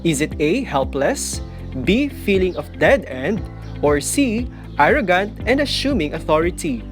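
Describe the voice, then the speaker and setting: Lively woman narrating a lesson over music. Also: deep voice. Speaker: teacher narrating a video lesson. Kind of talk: scripted instructional narration.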